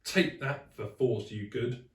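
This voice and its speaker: A man voicing a wild, villainous butcher who shouts in a storybook manner. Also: mid-range voice, takes it slowly, recorded from a distance, natural voice. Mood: wild gloating. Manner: shouting, gloating. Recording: echoey room, away from mic.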